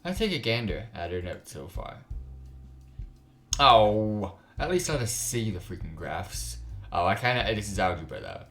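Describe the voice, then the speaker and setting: Low-voiced man reading a visual novel. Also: big pitch swings, unhurried, fairly bright tone, soft background music. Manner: informal, reacts with slangy asides. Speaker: gaming channel host. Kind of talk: reading a visual novel aloud with casual commentary.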